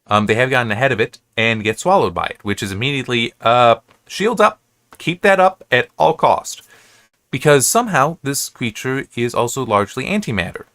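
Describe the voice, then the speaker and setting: Bright-voiced American man, rambling casually. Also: mid-range voice, expressive, no room sound, studio quality. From United States. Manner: halting, self-correcting, restarts and digresses often. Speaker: reviewer hosting an episode-by-episode analysis. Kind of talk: rambling, casual, unscripted commentary.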